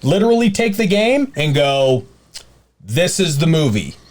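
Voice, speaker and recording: A man with a slightly high voice and very lively intonation, talking as a podcast host. He is emphatic and blunt, arguing opinions casually among co-hosts and tossing in jokes and mild swearing. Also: a little slow.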